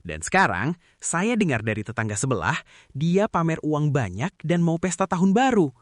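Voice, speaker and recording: A man's voice: on the high side, sing-song, moderate pace, no room sound, studio quality. A man playing a stern neighbourhood chief in a cartoon drama, authoritative and admonishing. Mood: stern, accusing.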